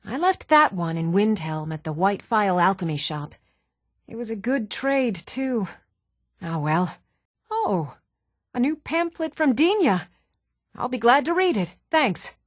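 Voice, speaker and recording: An earnest woman from a fantasy video game, speaking in an even-toned voice of medium pitch. The sound is dry, with no room around the lines. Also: unhurried, no echo. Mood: scatterbrained, eager. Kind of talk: video game character dialogue.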